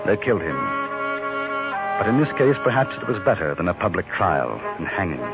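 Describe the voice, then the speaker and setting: An actor playing a calm gentleman adventurer in a 1950s radio western, measured, dry and restrained. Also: low-pitched, narrow, tinny audio. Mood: somber, reflective. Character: calm, composed gentleman adventurer narrating his frontier tale. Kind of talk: 1950s radio drama acting and narration. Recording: band-limited 1950s radio recording with music.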